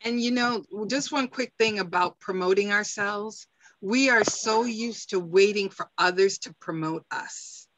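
A woman's voice: mid-range voice, unhurried, no room sound, rough recording. A middle-aged woman on a video call, speaking with energy and encouragement. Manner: energetic, encouraging, rising emphasis. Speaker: panelist, interviewer. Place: home room, indoors.

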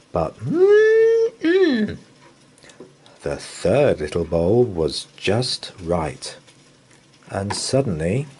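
A man reading a picture book aloud as a bedtime story, in an expressive, sing-song manner. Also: fairly low pitch, a little hiss or hum. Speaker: children's story reader. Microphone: camera above a picture book.

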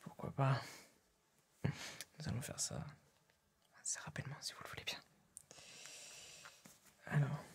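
A young man performing a soft-spoken, partly whispered ASMR roleplay as a polite, formal gentleman tailor, hesitant and courteous. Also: mid-range voice, flat delivery, unhurried, long pauses. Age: young adult. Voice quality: breathy, whispery.

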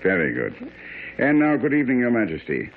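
An actor playing a shrewd, composed gentleman detective in a radio drama, clipped and formal as he questions. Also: fairly low pitch, huge pitch swings, a little fast. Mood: brisk, composed. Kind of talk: 1970s radio drama acting. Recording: tinny, noisy old radio broadcast.

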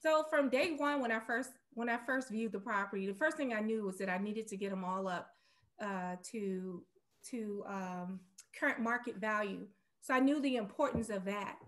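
A woman's voice: medium pitch, normal speed. A middle-aged woman talking casually about her business as an interview guest on a video call. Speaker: guest real estate investor. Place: room at home, indoors, on a video call.

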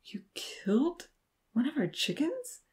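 A woman's voice: medium pitch, big pitch swings, normal speed, close to an everyday voice. A woman voicing an indignant young male farmer in a story, exclaiming in a protective, alarmed manner. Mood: alarmed disbelief.

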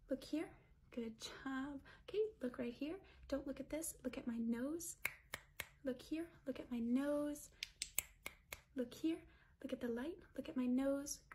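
A young woman giving gentle, repetitive ASMR instructions in a small room. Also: sits high, a little hiss or hum. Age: young adult. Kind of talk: ASMR focus-test roleplay.

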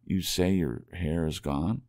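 A man voicing a stunned, tender young husband, subdued and slow, in an ASMR storybook reading. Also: low voice, vocal fry, warm tone, no room sound, clean studio sound, voice pushed up.

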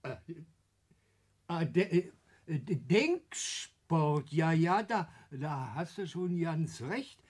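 A timid accomplice in an old radio drama. He stammers slowly and apologetically in a husky voice, hesitant and easily flustered. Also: on the high side, lively intonation, exaggerated voice. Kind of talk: German radio play acting around 1960.

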